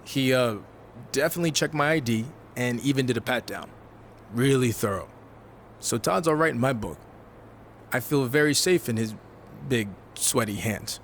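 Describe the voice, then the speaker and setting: A man's voice: mid-range voice, normal speed, a little hiss or hum, close to an everyday voice. A man voicing a self-assured, sardonic young rookie wrestler in a video game cutscene. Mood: wry, casual. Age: young adult. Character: cocky, wisecracking young male rookie wrestler.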